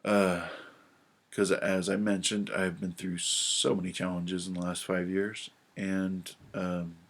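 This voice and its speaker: A man with a deep, steady voice that barely rises or falls, presenting from his notes in a relaxed way. His nose is stuffed up from a cold, so every word comes out nasal. He stops and restarts often and fills the gaps with ums. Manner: halting, many ums and restarts. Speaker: astrology video presenter. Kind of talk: casual talk to camera, reading notes.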